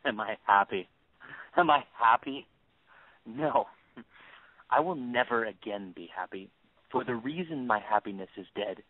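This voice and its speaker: A man acting in an audio play as a bitter grieving father turned cold avenger, accusing and sarcastic in a deliberate, commanding way. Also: mid-range voice, big pitch swings, vocal fry, no echo, heavily acted. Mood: bitter, anguished. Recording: tinny phone-like band.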